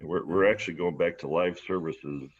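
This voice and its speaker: A man's voice: low-pitched, moderate pace, dull audio. An American man leading an online church service informally, tentative and repeating words, heard through video call audio.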